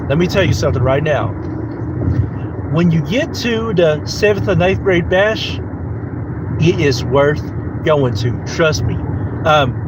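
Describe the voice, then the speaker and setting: Rambling podcast host by loud traffic. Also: male voice, fairly high pitch. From United States. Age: 30s. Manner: rambling, repetitive, many fillers and restarts. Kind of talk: casual solo podcast monologue.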